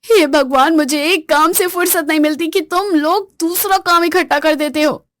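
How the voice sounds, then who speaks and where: A woman voicing a boastful, sharp-tongued young wife in a spoken story, talking in a taunting, frazzled way. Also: normal speed, no room sound, clean studio sound. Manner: taunting, then shrill and frazzled.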